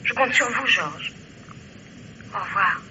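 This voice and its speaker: A woman's voice: mid-range voice, lively intonation, slow, sharp tone, full character voice. An actress playing a nervous, secretive young woman on the run, speaking hurriedly through a telephone in a radio mystery play. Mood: anxious, hurried. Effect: telephone filter. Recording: thin, band-limited telephone line, faint hiss.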